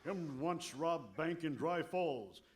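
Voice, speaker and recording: An actor playing a stolid, dry-witted western sidekick, deadpan, in clipped broken English for a comic radio show. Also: male voice, on the high side, slow. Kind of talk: comic old-time radio western acting.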